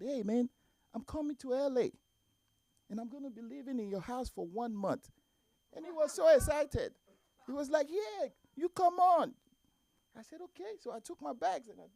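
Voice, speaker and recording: A man with a Nigerian accent from Nigeria, doing a stand-up set and telling a story at a deliberate pace, with animated quoted voices. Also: very high voice, gravelly, no room sound. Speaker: stand-up comedian. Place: comedy club stage.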